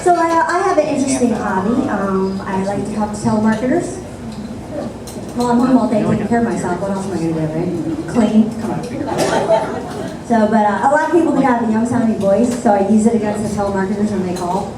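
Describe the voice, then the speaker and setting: A woman doing a stand-up comedy set on a club stage, with deadpan, sarcastic asides. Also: mid-range voice, fast talker, over a noisy crowd. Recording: echoey club sound from a distant mic.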